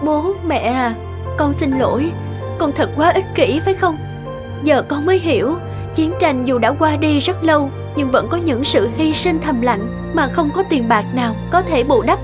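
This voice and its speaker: Prominent music sits behind a young cartoon girl a woman acting her. The voice is very high. She pleads earnestly, tender-hearted and full of remorse. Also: moderate pace, close to an everyday voice. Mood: remorseful, tearful.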